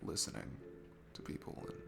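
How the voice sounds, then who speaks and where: A man playing a sweet, nervous young guy at a bar in an intimate ASMR roleplay, talking in a halting, earnest, awkward way. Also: natural voice. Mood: shy, sweet. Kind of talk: ASMR roleplay audio, casual intimate chat. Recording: music behind the voice. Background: music.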